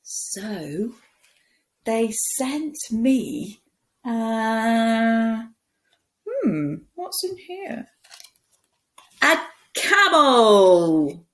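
A woman in her forties reads a picture book to young children as a teacher would, expressive and playful, with dramatic pauses and hushed asides, recorded in a room at home. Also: on the high side. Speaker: primary school teacher reading a picture book. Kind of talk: animated children's story reading. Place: small room at home, indoors.

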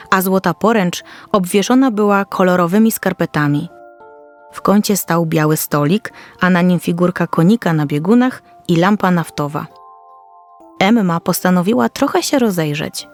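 A woman reading a scripted children's story as narrator, in a careful storytelling manner. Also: fairly low pitch. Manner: storytelling, descriptive, carefully read. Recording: soft music under the voice.

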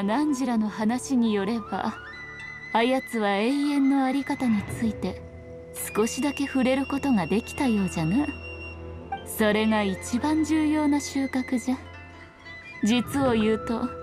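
A woman playing a sly, haughty fox shrine maiden in a video game, with archaic, teasing speech. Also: high-pitched. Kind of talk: video game dialogue acting. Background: game background music.

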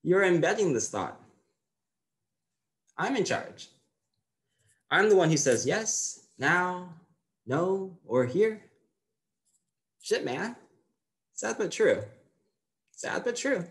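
A man's voice: high voice, very animated, slow pace, long pauses, heavily acted. A man playing a bossy six-year-old boy lecturing playmates in an acting monologue, with an insistent, know-it-all manner. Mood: bossy, cocky.

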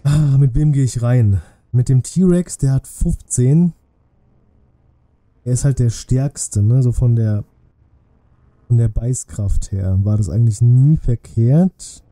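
Very dark-voiced man commentating a game. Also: mid-range voice, slow, no echo. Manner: thinks aloud, chatty asides. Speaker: streamer. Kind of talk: casual live game commentary with chat. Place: indoor room, streaming setup. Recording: music playing faintly behind. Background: game music.

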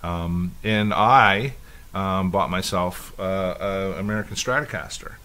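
A man's voice: low voice, a little slow, pushed and bright. A man in his fifties co-hosting a casual podcast chat, telling long rambling stories with many restarts. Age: 50s. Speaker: podcast co-host.

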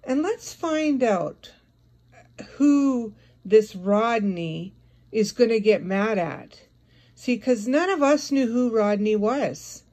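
A woman's voice: a little high, animated, slow pace, darkish tone. A woman giving a confrontational, scolding monologue addressed directly at someone, as an online video creator.

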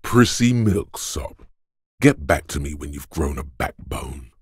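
A man voicing the gruff, macho inner voice of the body in a video game, narrating in the second person with slow, weighty, goading delivery. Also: big pitch swings, dry recording, clean studio sound, heavily acted. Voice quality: husky, gravelly bass.

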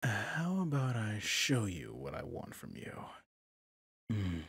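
A man playing a possessive villain prince, a smug and coaxing new husband, in an intimate ASMR roleplay, with a husky, breathy voice and a seductively tender menace. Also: lowish voice, huge pitch swings, slow pace, fairly bright tone, no echo, exaggerated voice.

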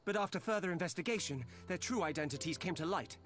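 An actor playing an earnest, dutiful, diminutive elfin soldier in a video game, with formal, precise speech. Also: male voice, a little high, lively intonation, quick pace, a bit bright, faint music behind. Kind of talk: scripted video game dialogue.

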